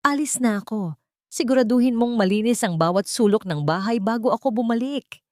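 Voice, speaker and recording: A woman's voice: fairly high pitch, brisk, darkish tone, no room sound, voice pushed up, close to an everyday voice. A cruel older mother-in-law in an audio drama, acted by a woman storyteller. She is haughty and domineering, scolding with open contempt. The sound is dry and close. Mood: bossy.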